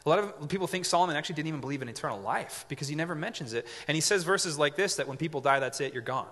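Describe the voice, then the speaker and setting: A man's voice: fairly high pitch, animated, rapid-fire, fairly bright tone. A man in his twenties preaching a sermon as pastor, conversational with joking asides and frequent check-ins with the audience. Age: 20s. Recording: reverberant hall.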